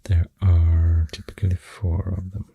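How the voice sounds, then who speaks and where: Breathy, whispery Swedish-accented ASMR voice, very low. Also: flat delivery, dry recording, narrow, tinny audio. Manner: hushed, unhurried, gentle rambling with pauses. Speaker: ASMR host. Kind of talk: soft-spoken ASMR, rambling facts while drawing. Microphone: close microphone. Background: pencil writing and clicking sounds.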